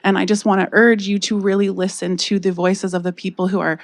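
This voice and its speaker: A woman with a Canadian accent speaking softly and hesitantly into a podium microphone, giving public comment in a council chamber. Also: medium pitch, little pitch movement, a little fast. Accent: Canadian English. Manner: soft-spoken, hesitant, earnest. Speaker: public delegate.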